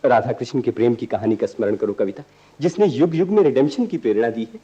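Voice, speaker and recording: A man's voice: medium pitch, quick pace, warm tone, husky, lightly acted. An actor playing an earnest, idealistic young man in a radio play, speaking in lofty, poetic, declamatory lines. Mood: idealistic, pleading. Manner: lofty, declamatory, literary diction. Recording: dull archival radio recording.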